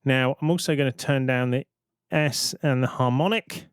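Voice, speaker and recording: A man's voice: mid-range voice, moderate pace. A man presenting a tutorial in an informal, explanatory way, thinking aloud as he goes. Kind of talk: informal software tutorial narration.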